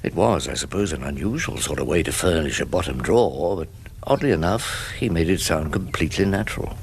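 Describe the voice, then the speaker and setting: A man's voice: sits low, expressive, brisk, a little hiss or hum. A man playing a wry, world-weary older English barrister in a radio drama, speaking and narrating in a dry, droll, literate way. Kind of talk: radio drama dialogue and first-person narration.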